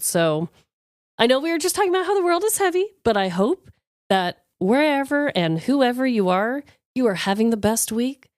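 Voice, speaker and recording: Lively giggly woman podcast co-host. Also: mid-range voice, clear, resonant tone, no echo, studio quality. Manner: giggly, upbeat sign-off patter. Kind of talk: casual podcast chat.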